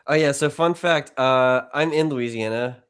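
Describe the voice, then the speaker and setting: A man's voice: mid-range voice, unhurried, a bit bright, clean tone. A stuffed-up man co-hosting a podcast, chatting casually and hedging. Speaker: co-host.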